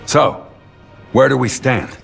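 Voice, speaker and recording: An actor playing a steady, grave warship captain in a video game, measured, terse and commanding. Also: male voice, mid-range voice, creaky. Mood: grave, questioning. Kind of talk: video game cinematic acting. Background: music.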